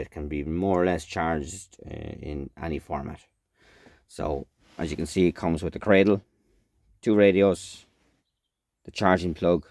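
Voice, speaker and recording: A man giving a casual, unscripted product demonstration as a reviewer, in a halting, matter-of-fact way. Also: very deep voice, a little mellow, dry recording, studio quality.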